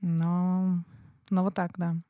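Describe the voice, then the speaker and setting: A woman co-hosting a casual, reflective conversation, thinking aloud in halting phrases. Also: on the low side, completely flat delivery, dry recording, lo-fi sound. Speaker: talk show co-host.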